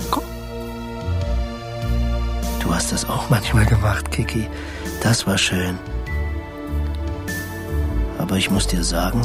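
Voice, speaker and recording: An actor playing a wistful male budgie in a children's radio play, tender and reflective, sometimes slipping toward a whisper. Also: low-pitched. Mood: tender, hushed, then gentle, consoling. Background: music.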